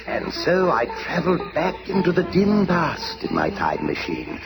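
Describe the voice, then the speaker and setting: An actor playing a grandiose villainous conqueror posing as a pharaoh in a 1970s radio drama, proclaiming pompously and theatrically. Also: male voice, on the high side, wildly swooping pitch, unhurried, gravelly, heavily acted, poor audio. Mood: boastful, theatrical. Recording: 1970s radio recording with music behind.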